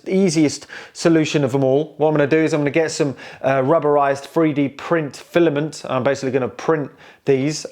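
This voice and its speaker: Guy with a highish voice doing a casual tech walkthrough, hesitant, slangy, thinking out loud. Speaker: presenter showing and restoring old technology. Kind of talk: casual, unscripted equipment walkthrough.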